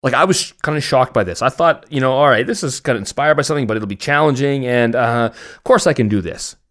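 A man's voice: medium pitch, animated, fast talker, fairly bright tone. A man with a Canadian accent hosting a podcast, chatting in a casual, rambling way with a joking, self-mocking manner. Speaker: podcast host. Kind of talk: casual, rambling personal chat.

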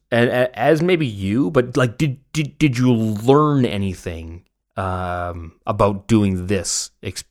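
A man with a Canadian accent hosting a podcast, chatting in a casual, rambling way with a joking, self-mocking manner. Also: medium pitch. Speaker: podcast host. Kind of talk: casual, rambling personal chat.

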